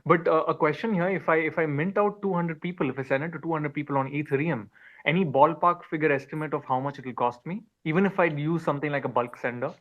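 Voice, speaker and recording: A man's voice: fairly high pitch, fast. A man asking questions as an audience member in a casual online chat, politely and a bit hesitantly, repeating himself. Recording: dull lo-fi online call audio.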